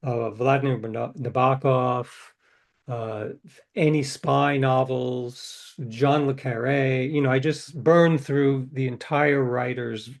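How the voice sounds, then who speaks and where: A man's voice: mid-range voice, fairly even intonation, slow pace, vocal fry. An older man chats as an interview guest, telling long rambling stories. He corrects himself as he goes and acts out the lines of people in his anecdotes. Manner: rambling, self-correcting, acts out quoted dialogue. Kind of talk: casual storytelling.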